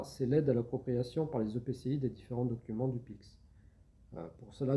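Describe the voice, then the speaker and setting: Man, medium pitch, very mellow dark timbre, structured explanatory interview answers, step-by-step delivery. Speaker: public service agent, interviewee.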